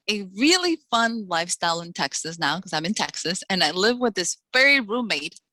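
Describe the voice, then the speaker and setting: A bright, forceful woman in her forties, originally from the Dominican Republic, chatting about her life as a guest author. She talks fast and warmly with easy laughs, close to a dry, dead-sounding mic. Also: mid-range voice, no echo, low-quality recording. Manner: quick, chatty, laughs often. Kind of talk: casual interview chat.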